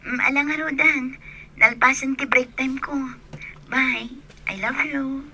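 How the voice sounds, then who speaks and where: An actress playing a longing young grocery worker in love, plaintive, with an Ilocano accent, in a radio drama. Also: female voice, high voice, fairly even intonation, measured pace, bright, forceful tone, slight background noise, full character voice. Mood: affectionate. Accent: Northern Philippine Ilocano.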